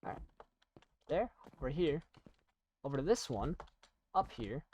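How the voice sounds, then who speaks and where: A young adult gamer talks casually over gameplay at a medium pitch. The delivery rambles and halts, with self-deprecating asides, and there is noise behind the voice. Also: sing-song, a little slow, darkish tone. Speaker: YouTuber playing and commentating on a game. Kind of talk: casual gaming commentary and chat.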